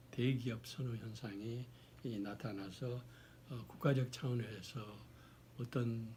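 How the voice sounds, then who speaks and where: A man, hoarse from a cold, giving an explanatory talk in a formal, deliberate way with halting phrasing. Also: mid-range voice, a little mellow, a little hiss or hum. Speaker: lecturer. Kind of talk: explanatory talk on social issues.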